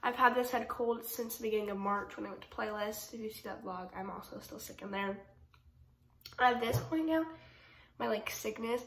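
A woman's voice: fairly high pitch, moderate pace, echoey room. Chatty teen girl vlogging with a head cold. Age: teens. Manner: chatty, rambling, lots of "like" and "um". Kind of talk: casual vlog chat to camera. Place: bedroom at home, indoors. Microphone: phone camera at arm's length.

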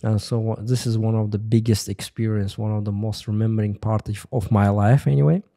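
A middle-aged man from Uzbekistan, a guest in a podcast studio, talking about his career with many fillers and restarts on a close boom mic. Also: fairly even intonation, brisk, vocal fry, warm tone. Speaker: guest, testing center head. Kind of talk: interview.

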